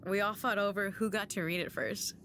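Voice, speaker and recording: A woman playing a grieving, sharp-tongued young female agent in an audio drama, blunt and defiant in manner. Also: medium pitch, steady tone, brisk, natural voice. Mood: subdued, wistful. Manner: blunt, sarcastic, swearing. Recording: noisy ambience behind the voice.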